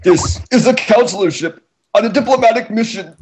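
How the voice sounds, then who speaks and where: A man's voice: high voice, fairly quick, pitched above the performer's own voice, close to an everyday voice. A man voicing a gasping, desperate but defiant cartoon stallion prisoner in a fan fiction reading. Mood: strained, defiant. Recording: noisy amateur mic recording.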